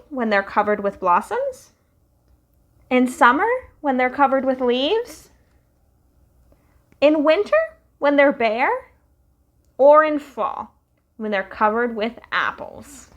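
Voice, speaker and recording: Young woman reading picture books aloud for kids, sing-song and unhurried. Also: sits high, highs cut off. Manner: slow, lively, sing-song storytelling. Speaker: children's storytime reader.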